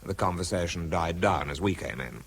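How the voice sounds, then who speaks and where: An actor playing a dry, sober English scientist who tells his wartime story in a measured, understated way. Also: sits low, expressive, creaky, breathy edge, exaggerated voice. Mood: sober narration. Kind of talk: radio drama narration and dialogue.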